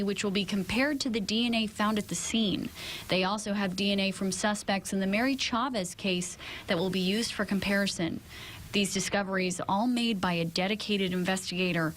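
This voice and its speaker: A woman's voice: medium pitch, steady tone, normal speed, fairly bright tone, slight background noise, poor audio. American woman reporter narrating TV news.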